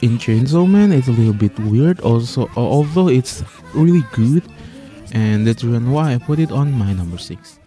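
A man hosting a countdown review as a voice-over, speaking haltingly and stretching key words. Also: medium pitch, expressive. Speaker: host of an anime music ranking channel. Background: music playing under the voice.

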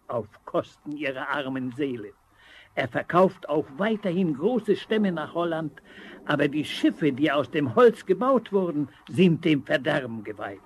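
An actor playing a knowing teller of an ominous local legend in a storybook radio play, dramatic and emphatic. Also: male voice, fairly high pitch, a little hiss or hum, poor audio.

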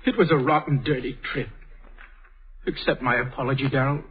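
A man's voice: mid-range voice, steady tone, a little fast, slight background noise, lightly acted. An actor playing an earnest, impulsive young man in a vintage radio drama, clipped and theatrical. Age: young adult. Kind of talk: 1930s radio acting. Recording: tinny old radio broadcast.

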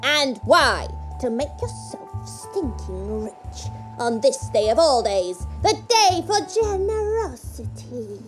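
An exuberant, chatty Christmas spirit acted out by a performer for an audio drama. It speaks high and slowly with big swoops of pitch, scolding and bossy. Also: sing-song, faint music behind, exaggerated voice. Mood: scolding, eager.

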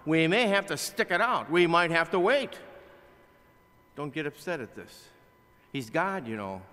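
An older man preaches from a pulpit in a large chapel, talking to the congregation in a plain, conversational way. His voice sits a little high and sounds bright and forceful. The pulpit mic catches a long reverb that hangs in the room. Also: a little slow. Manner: conversational preaching with animated swings from loud to hushed. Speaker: pastor. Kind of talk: sermon. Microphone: pulpit microphone, filmed by a far camera.

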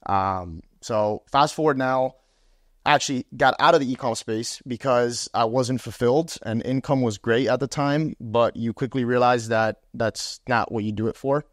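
A man's voice: medium pitch, normal speed, no echo, clean studio sound. Young Miami man telling his story. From Miami, USA. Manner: animated, gestures, uses fillers like "um" and "right?". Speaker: podcast guest, sales executive. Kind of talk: casual interview storytelling. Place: small room with armchairs, indoors. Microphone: lapel.

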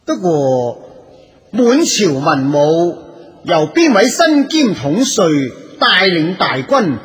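An actor playing a stately, commanding emperor in a radio drama, with formal, imperious phrasing. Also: male voice, high voice, big pitch swings, takes it slowly, a bit bright, full character voice. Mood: stately, deliberating. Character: stately emperor holding court, measured and commanding. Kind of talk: Cantonese radio drama acting. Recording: long hall reverb.

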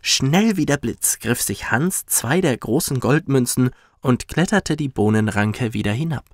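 A male narrator tells a children's bedtime story at an unhurried pace. His gentle delivery has plenty of melodic movement, and the sound is dry and close. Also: medium pitch, no room sound. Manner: gentle, expressive. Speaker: professional narrator.

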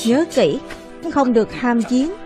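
High-voiced woman dubbing a cartoon over music. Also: expressive, unhurried, warm tone. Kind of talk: dubbed narration of a children's animated fantasy, voicing every character. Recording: music playing behind the voice.

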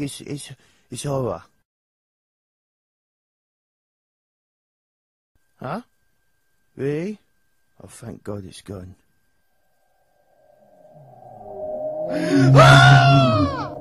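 A nervous, childlike young English singer from a cartoon game. His voice is husky and swoops up and down as he rambles in slang, hesitant and a little dim. The recording is close and dry. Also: a little high, a bit bright, no echo, exaggerated voice. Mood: frightened. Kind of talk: video game character dialogue.

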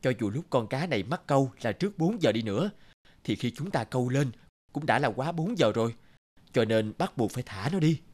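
A man playing a stern, principled man in a cartoon, speaking firmly and in a measured way. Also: mid-range voice, animated, a little mellow, lightly acted. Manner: firm, measured, moralizing. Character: stern, principled adult man of firm morals. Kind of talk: cartoon dub acting.

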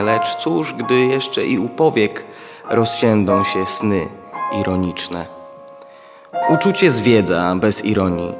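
A young man playing a solemn poetry reciter in a radio play. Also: lowish voice. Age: young adult. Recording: long reverb, music behind.

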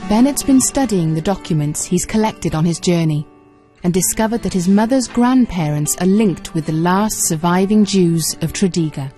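A woman's voice: fairly low pitch, animated, moderate pace, warm tone, faint music behind. A woman reading scripted narration for a television documentary.

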